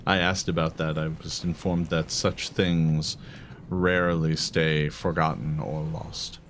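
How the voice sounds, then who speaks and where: A man voicing a stern, worried woman in a tabletop game, speaking formally and gravely. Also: a bass voice, level tone, fairly bright tone, slight background noise, pitched below the performer's own voice, exaggerated voice. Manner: formal, grave, measured wording. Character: stern, worried woman entrusted with a dangerous deck.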